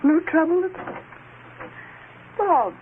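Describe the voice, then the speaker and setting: A woman's voice: very high voice, expressive, slow pace, exaggerated voice. An actress playing a tender, grieving mother in a sorrowful vintage radio drama style. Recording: old narrow-band radio, faint noise.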